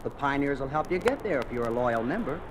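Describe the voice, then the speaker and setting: Male actor as friendly, persuasive American teen recruiter, very animated delivery, 1950s radio drama with clear background noise. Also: medium pitch, fast talker, natural voice. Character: slick high school boy recruiting for a communist youth club. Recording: noisy old 1950s radio recording.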